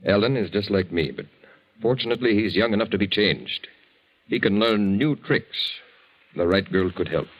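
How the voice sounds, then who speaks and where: A man's voice: fairly low pitch, lightly acted. An actor playing a weary, weak-willed married man in debt, speaking hesitantly in a 1940s radio drama. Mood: earnest, tender. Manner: hesitant, halting. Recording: dull, lo-fi 1940s radio recording.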